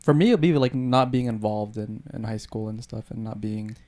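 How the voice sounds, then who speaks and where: A young man chatting casually on a podcast, halting and relaxed, restarting his sentences often. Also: lowish voice. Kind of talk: casual chat.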